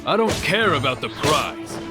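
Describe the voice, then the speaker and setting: A man playing a humble, stoic martial artist in a video game, speaking in a measured, earnest, matter-of-fact way. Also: fairly high pitch, big pitch swings, poor audio. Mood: gracious. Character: stoic, humble male martial artist who relishes a challenge. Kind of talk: video game character acting. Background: game music.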